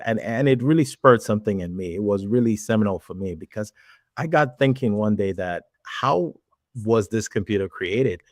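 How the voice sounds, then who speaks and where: Nigerian man in his forties, podcast guest; low voice, dry close sound, measured storytelling. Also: dry recording. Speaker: podcast guest, tech executive. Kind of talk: casual interview talk.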